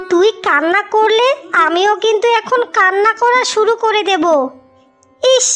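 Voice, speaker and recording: Woman doing a bossy caring big sis for a cartoon, really high n bright voice. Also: full character voice. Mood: fussing, affectionate. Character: doting, protective, bossy young elder sister. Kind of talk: cartoon fairy-tale voice acting.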